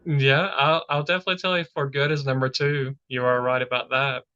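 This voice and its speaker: A man's voice: mid-range voice, little pitch movement, a little fast, pushed and bright, clean tone. A man hosting a podcast in casual chat, rambling with many filler words. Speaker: podcast host. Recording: dull lo-fi audio.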